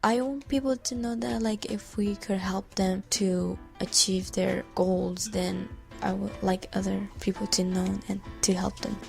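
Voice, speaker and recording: Creaky-voiced teenage girl from Thailand. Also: medium pitch, steady tone, unhurried, hardly any pauses, faint music behind. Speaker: student soccer player. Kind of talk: informal interview.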